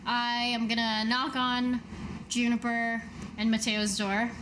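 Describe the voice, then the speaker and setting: A woman's voice: fairly high pitch, level tone, takes it slowly, very noisy. A woman playing a tabletop game, casually narrating what her character does.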